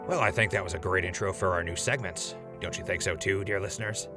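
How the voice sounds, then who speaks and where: Man playing a low-voiced, affable radio host. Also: fast talker. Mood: friendly. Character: affable, easygoing male wasteland radio host. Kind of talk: post-apocalyptic radio drama acting. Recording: music behind the voice.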